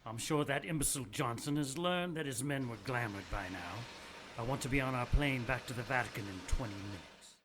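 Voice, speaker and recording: An actor playing a zealous, self-righteous male cleric in a radio drama, calm and condescending. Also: mid-range voice, a little fast, background noise, close to an everyday voice. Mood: cold.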